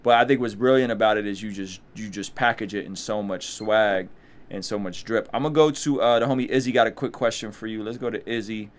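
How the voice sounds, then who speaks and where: A man hosts a relaxed online video call with a playful, teasing manner. He hypes his guest and calls on viewers with questions. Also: on the low side, brisk. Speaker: host. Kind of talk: casual live-stream chat.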